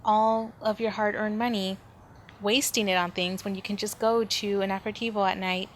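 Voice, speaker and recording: A woman's voice: medium pitch, fairly even intonation, moderate pace. A woman hosting a solo podcast, talking casually and informally, rambling as she thinks aloud. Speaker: podcast host. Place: indoors at home, near street noise. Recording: background noise, ambient room sound.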